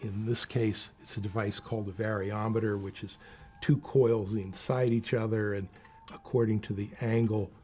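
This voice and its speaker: A man's voice: lowish voice, steady tone, normal speed, darkish tone, breathy edge. A man giving a plain, unscripted, instructive explanation as he demonstrates equipment. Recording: faint background noise.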